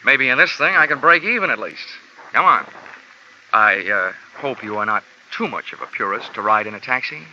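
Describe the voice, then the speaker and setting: A man's voice: medium pitch, expressive, moderate pace, noisy background. A man narrating as a wisecracking insurance investigator in a 1950s radio drama, with a dry hard-boiled delivery. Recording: lo-fi old radio broadcast with music.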